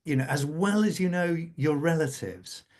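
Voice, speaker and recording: A man's voice: on the high side, moderate pace, darkish tone. A man talking conversationally as a scriptwriter on a panel, repeating words for emphasis. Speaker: radio drama scriptwriter, panel guest.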